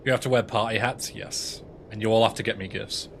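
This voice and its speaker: A man's voice: fairly low pitch, fairly even intonation, fairly quick, gravelly, a bit bright, slight background noise. Chatty English guy in his twenties. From England. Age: 20s. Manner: chatty, answers viewers' messages aloud between gameplay talk. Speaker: streamer and speedrunner. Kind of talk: casual livestream chat.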